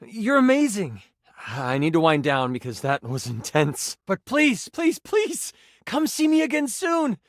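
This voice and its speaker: A man's voice: high-pitched, wildly swooping pitch, a little slow, no echo, clean studio sound, heavily acted. An actor voicing a personified gaming die as a nerdy, earnest, awkward man who stammers and gets theatrical, in video game style. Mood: warm, grateful. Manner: hesitant, stammering, effusive, theatrical gamer talk.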